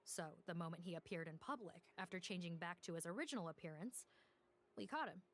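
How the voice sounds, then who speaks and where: A woman playing a composed police officer in a video game, speaking in a formal, measured, matter-of-fact way. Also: lowish voice, slight background noise, close to an everyday voice. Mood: firm. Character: composed female police officer reporting on a case. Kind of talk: scripted video game dialogue.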